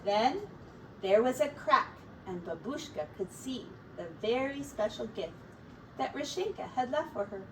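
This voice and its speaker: A woman with a high voice reads a children's story aloud like a teacher. She takes her time, shaping the phrases expressively and deliberately for small listeners. The recording is a little distant from the microphone, with faint noise behind her. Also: expressive, a bit bright.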